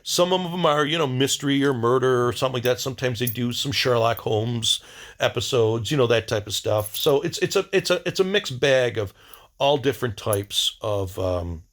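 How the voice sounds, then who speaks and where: A man hosting a casual video diary, rambling in a blunt, wry way and often halting to search for words. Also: mid-range voice, animated, fairly bright tone.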